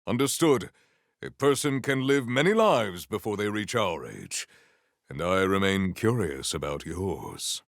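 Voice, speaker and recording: An actor playing a grave, honour-bound warrior with wry formality and archaic, theatrical diction in a video game. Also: male voice, deep voice, sing-song, measured pace, creaky, dry recording, studio quality, heavily acted. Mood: solemn. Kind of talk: video game character voice lines.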